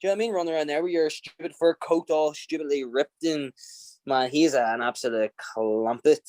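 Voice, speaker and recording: A man's voice: fairly high pitch, fairly even intonation, darkish tone, no room sound. Fiery, profane Irish man. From Ireland. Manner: blunt, profane and fiery, quick to laugh. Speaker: podcast guest. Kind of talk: casual interview chat.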